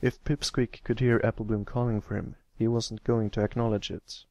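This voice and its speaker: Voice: medium pitch, little pitch movement, moderate pace, mellow, no room sound. An amateur reader giving a scripted narration of a story.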